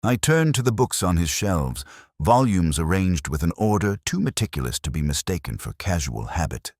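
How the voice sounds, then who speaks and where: A man reads a scripted first-person detective story in a measured, solemn, reflective manner, as a literary narrator. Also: sits low, big pitch swings, a little mellow, no echo, studio quality. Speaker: audiobook-style narrator.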